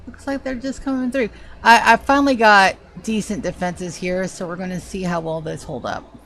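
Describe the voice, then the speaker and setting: A woman's voice: mid-range voice, steady tone, normal speed, a bit bright, a little hiss or hum. A woman with a Georgia accent and a slight lisp, chatting casually to viewers while streaming a game. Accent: Southern US, Georgia. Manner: chatty, greets viewers by name. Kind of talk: casual live gameplay commentary.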